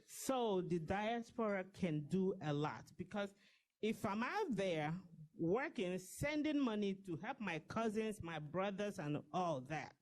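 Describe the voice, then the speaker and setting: A woman's voice: medium pitch, animated, unhurried, a little mellow. A woman in her fifties gives a passionate, persuasive speech to a gathering as a diaspora campaign organizer. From Nigeria. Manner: impassioned, persuasive, pleading.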